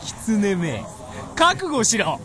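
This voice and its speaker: An actor playing a boisterous castle gate guard who turns servile before his lord, excitable, in a radio drama over noisy effects. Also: high-pitched, extremely slow, crowd noise, exaggerated voice. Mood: excited, taunting. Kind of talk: Japanese radio drama acting.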